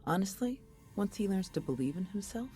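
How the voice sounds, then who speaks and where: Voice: medium pitch, expressive, a little fast, a little mellow, lightly acted. An actor voicing an easygoing, supportive soldierly companion in a video game, casual and conversational. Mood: relaxed.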